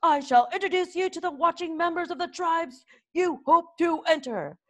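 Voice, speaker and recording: Nasty Viking drill master, shouty and high, storybook, voiced by a woman. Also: lively intonation, moderate pace. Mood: bossy. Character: gruff, bad-tempered male Viking trainer.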